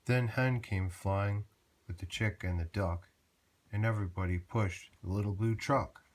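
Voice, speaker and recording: A man narrating a children's picture book aloud in a measured, rhyming read-aloud manner. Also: on the low side, fairly even intonation.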